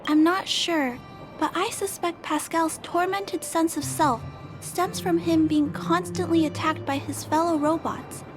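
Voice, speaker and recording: Sweet earnest little game girl, high pitched, thinking out loud, music playing behind her. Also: measured pace. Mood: thoughtful, explaining. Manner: polite, thoughtful, musing aloud. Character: earnest, gentle, curious young girl investigating robots. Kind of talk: video game voice acting.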